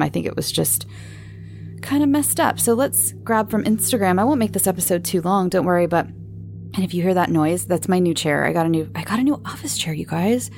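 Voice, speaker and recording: A woman's voice: on the low side, vocal fry, darkish tone, a little hiss or hum. A woman hosting a podcast, chatting casually and in a rambling, informal way as she answers listeners. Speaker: podcast host. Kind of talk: casual chat answering listener voicemails and questions.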